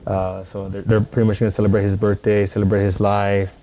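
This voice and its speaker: Rambling man with a mellow voice. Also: on the low side, monotone, a little fast, a little hiss or hum. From San Antonio, Texas. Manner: rambling, many "you know" fillers. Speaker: guest. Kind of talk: casual podcast chat.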